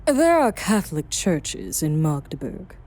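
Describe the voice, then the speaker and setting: A brooding vampire woman acted by a woman from an audio drama, worldly and guarded. Her voice is mellow and on the low side, and it swings widely in pitch as she lays things out. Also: very animated, measured pace, full character voice. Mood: wry, practical. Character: self-exiled female vampire sire, worldly, guarded, brooding.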